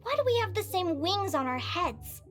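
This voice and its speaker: A woman playing a determined, earnest young fairy girl in an anime-style video game, with an expressive, eager delivery. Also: very high-pitched, moderate pace, full character voice. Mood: earnest, questioning. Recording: game music behind the voice.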